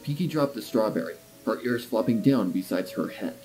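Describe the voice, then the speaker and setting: A man's voice: fairly low pitch, expressive, moderate pace, dark tone, soft background music, poor audio. A man reading scripted story narration as the narrator of a tale.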